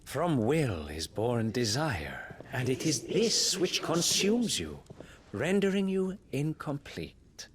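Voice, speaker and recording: An actor playing a solemn accuser passing judgement in a game cutscene, portentous and measured. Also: male voice, medium pitch, wildly swooping pitch, noisy background. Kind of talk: dramatic video game cutscene acting.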